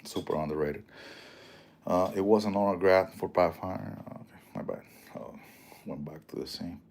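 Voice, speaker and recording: A man's voice: low-pitched, moderate pace, gravelly, a little mellow. A man with a strong Puerto Rican accent hosting a casual livestream, rambling, swearing freely and answering viewer comments. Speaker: livestream host.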